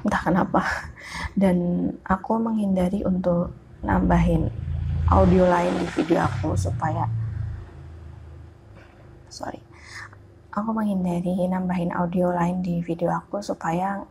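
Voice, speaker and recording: A woman's voice: medium pitch, gravelly, darkish tone, slightly rough, low-quality recording. A woman with a thick Javanese Ngapak accent and a congested, nasal voice, giving casual unscripted tutorial commentary. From Java, Indonesia. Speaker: digital illustration tutor. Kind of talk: casual unscripted tutorial commentary over a speed-art video.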